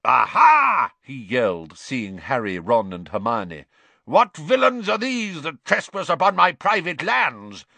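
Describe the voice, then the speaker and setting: A man voicing a short, squat knight in a painting, loud, pompous and theatrical. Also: medium pitch, very animated, measured pace, dry recording, voice pushed up, heavily acted. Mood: indignant. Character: excitable boy knight, short and squat, blustering.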